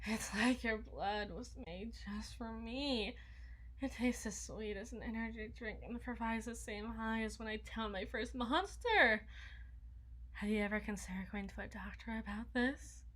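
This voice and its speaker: A woman's voice: medium pitch, a bit bright. A woman playing a flustered, needy, socially awkward young vampire in an intimate ASMR roleplay, stammering and slipping into whispers. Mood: awed, teasing. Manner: stammering, breathy asides, dropping into whispers.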